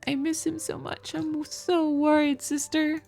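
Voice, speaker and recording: A woman's voice: high voice, flat delivery, a little slow, vocal fry, darkish tone, faint music behind, pitched above the performer's own voice. A woman voicing a young baroness in a visual novel, earnest, wary and pining for her husband.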